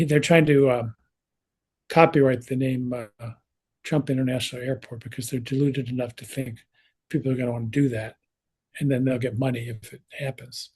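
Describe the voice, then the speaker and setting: A man's voice: mid-range voice, a little mellow, husky. A man in his sixties speaking on a panel in a conversational way, with many restarts and fillers, over a video call. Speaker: researcher and speaker. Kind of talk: panel talk.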